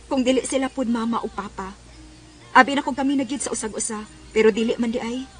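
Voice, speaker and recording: Casting: actress for a betrayed young woman, very high-pitched, emotional and pleading, radio serial with light music underneath. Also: close to an everyday voice. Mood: sad, reflective. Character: heartbroken young woman betrayed by her boyfriend. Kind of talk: radio drama acting and first-person narration. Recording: music behind.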